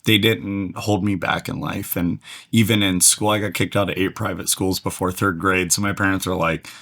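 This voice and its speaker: A man from Atlanta, slightly hoarse, telling his story as an energetic, fast-talking podcast guest. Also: low-pitched. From Atlanta, USA. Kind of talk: casual storytelling interview.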